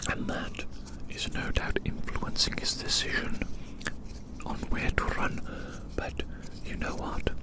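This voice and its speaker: Rambling ASMR whisperer, breathy and unvoiced. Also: slow pace, rough recording. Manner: rambling, repeats phrases, theatrical wordy phrasing. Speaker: ASMR performer, host. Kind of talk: whispered ASMR roleplay monologue. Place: outdoors in a large open field.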